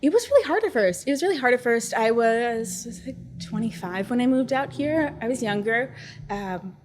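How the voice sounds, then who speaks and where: A young adult woman, a neuroscientist and startup founder, talking calmly and reflectively as an interview guest. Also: on the high side, moderate pace. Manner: calm, soothing, reflective. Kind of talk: casual interview conversation.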